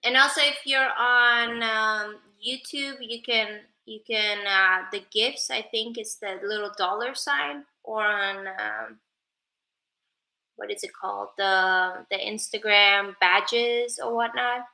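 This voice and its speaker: Woman streaming live, casual rambling chat, slow unhurried talk, echoey room. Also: mid-range voice, pushed and bright. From Sweden. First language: Persian. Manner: chatty, rambling, thanks viewers by name. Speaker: livestreamer and advocate.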